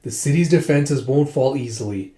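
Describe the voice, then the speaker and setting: A calculating warlord as a male storyteller plays him, sure of his advantage. The voice is mellow and easy, with noticeable room echo. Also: medium pitch, moderate pace, lightly acted. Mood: smugly confident. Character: confident scheming male warlord in a besieged city. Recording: roomy, echoing sound.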